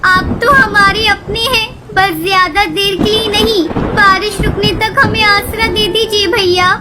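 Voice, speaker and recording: A woman voicing a humble, anxious mother bird with an earnest manner in a Hindi animated story. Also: very high voice, unhurried. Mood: pleading. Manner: pleading, earnest. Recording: loud music behind the voice.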